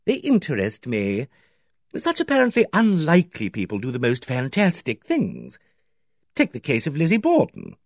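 A man reading aloud, voicing an effusive, fussy older male village gossip who talks in a theatrical, drawn-out way. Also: mid-range voice, huge pitch swings, creaky, no echo, clean studio sound, exaggerated voice. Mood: sly.

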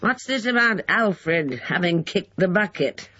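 A male narrator playing a gleeful, spiteful bedridden old man who crows and laughs in a high whinny, on a dull lo-fi recording. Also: animated, measured pace, pushed and bright, full character voice. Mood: grumbling. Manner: high whinnying cackle, grumbling.

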